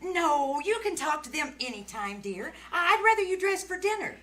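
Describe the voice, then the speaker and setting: Woman playing a high-pitched, coaxing radio mother. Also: sing-song, normal speed, fairly bright tone. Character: managing, soothing mother and housewife Dora. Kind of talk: 1940s radio comedy acting before live audience. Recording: noisy live stage recording.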